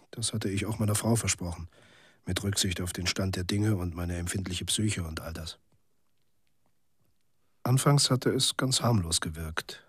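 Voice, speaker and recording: Deep, weary cynical journalist. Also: male voice, slightly rough, dry recording, studio quality. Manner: laconic, literary first-person storytelling. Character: weary, cynical male journalist in a bleak near-future city. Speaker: narrator of a radio monologue. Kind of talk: scripted radio drama monologue.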